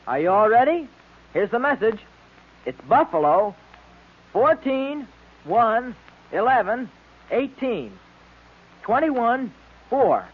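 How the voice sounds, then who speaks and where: Eager high-voiced boy sidekick, muffled recording. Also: very animated, slow pace, pauses often, husky, exaggerated voice. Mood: eager, proud. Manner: gee-whiz eagerness, emphatic. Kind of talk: 1930s-40s radio serial acting. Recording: muffled vintage radio serial recording.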